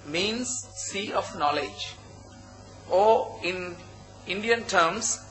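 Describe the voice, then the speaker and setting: High, bright-voiced Sri Lankan man presenting. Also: low-quality recording. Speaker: conference presenter, project founder. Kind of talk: conference presentation. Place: conference hall at a hotel.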